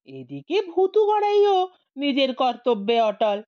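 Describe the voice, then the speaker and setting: A woman's voice: sits high, wildly swooping pitch, takes it slowly, lo-fi sound. A woman narrating a comic audio story in a playful, theatrical manner.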